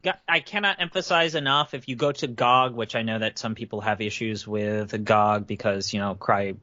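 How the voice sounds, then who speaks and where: A man's voice: fairly low pitch, steady tone, normal speed, pushed and bright, no room sound. A man co-hosting a casual podcast chat, emphatic and blunt, swearing freely. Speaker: podcast co-host. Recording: dry, dull lo-fi podcast audio.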